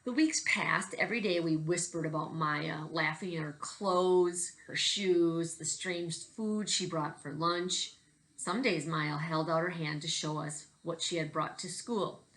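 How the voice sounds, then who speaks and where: A woman's voice: low voice, measured pace, a bit bright, low-quality recording. A woman reading a storybook aloud in the manner of a teacher addressing children.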